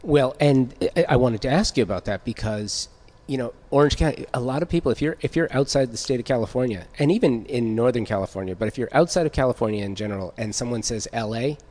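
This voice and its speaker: A man with a medium-pitched voice speaks as a podcast host. His delivery is conversational, that of an interviewer talking with guests. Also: fast, a little mellow.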